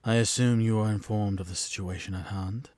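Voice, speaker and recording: A man's voice: on the low side, steady tone, brisk, a little mellow, no echo, close to an everyday voice. A man acting an authoritative knight commander in a fantasy audio drama, formal and clipped in his orders. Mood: stern, formal.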